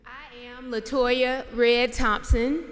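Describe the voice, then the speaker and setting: A woman's voice: a little high, fairly even intonation, slow. A young adult woman, a church lay leader, opening a formal address with welcoming introductions. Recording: long reverb in a large hall.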